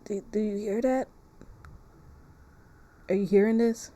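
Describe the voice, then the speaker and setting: A woman's voice: mid-range voice, steady tone, a little slow, mellow. A woman streaming a game, chatting casually and playfully as she reacts aloud. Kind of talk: casual live-stream chat while playing a visual novel.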